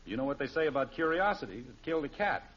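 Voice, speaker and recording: A man's voice: mid-range voice, big pitch swings, fast talker, fairly bright tone, breathy edge. An actor playing an exasperated, blustering middle-aged American father in a 1950s radio sitcom, speaking emphatically. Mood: reproving. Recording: dull, noisy old radio recording.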